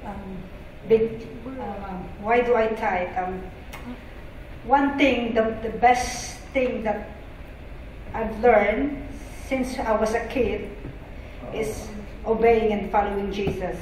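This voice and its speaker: A woman's voice: medium pitch, steady tone, slow pace, a little mellow. Filipino-accented woman in a very echoey hall. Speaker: church member giving testimony. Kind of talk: personal testimony and scripture reading. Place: church auditorium stage. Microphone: handheld microphone through PA, far camera. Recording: echoey hall PA, distant, faint noise.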